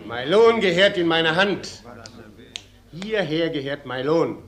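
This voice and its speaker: An actor in a German radio play, playing a defiant, hot-headed weaver with a cheeky, combative manner. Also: male voice, fairly high pitch, animated, slow pace, a bit bright, heavily acted.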